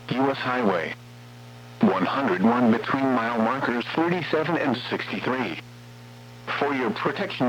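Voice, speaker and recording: A synthetic male voice reading scripted weather warning bulletins in a formal, flat manner as an automated radio announcer. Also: medium pitch. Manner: formal, flat synthetic text-to-speech delivery. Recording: muffled, noisy weather radio with hum.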